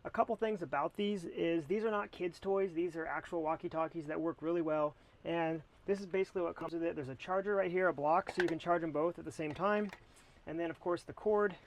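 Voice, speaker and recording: A man's voice: a little high, a little fast, rough recording. An American man talking casually to camera as he reviews a product.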